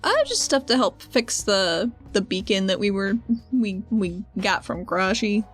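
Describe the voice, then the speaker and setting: A woman's voice: medium pitch, a little slow, faint music behind. A woman chatting casually as a player in a tabletop roleplaying game.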